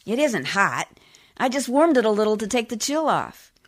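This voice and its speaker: Lady doing a needling bossy housewife, fairly high voice, old radio comedy style. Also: very animated, moderate pace. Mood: brisk nagging. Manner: pointed, scolding. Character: bossy, needling, nagging housewife, unflappably critical. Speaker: community theatre actor. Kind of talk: 1940s radio comedy acting.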